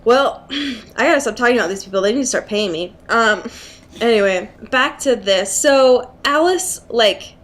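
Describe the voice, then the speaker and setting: A woman's voice: a little high, lively intonation, moderate pace, a bit bright. A young American woman co-hosting a casual podcast, chatting in slangy, exclamatory, sweary language. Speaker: podcast co-host. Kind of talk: casual chat, recapping a book.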